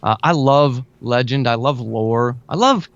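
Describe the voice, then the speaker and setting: A man's voice: mid-range voice, measured pace, no room sound, muffled recording. An American man talking chattily and with enthusiasm as a guest in a conversational interview, repeating words for emphasis. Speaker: podcast guest, audio drama writer.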